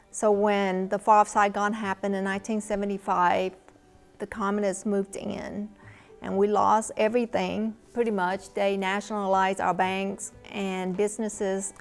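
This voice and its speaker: A woman in her fifties with a Vietnamese accent, telling her own story in a measured, reflective way for a documentary interview. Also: mid-range voice. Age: 50s. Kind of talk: personal testimony in a documentary interview.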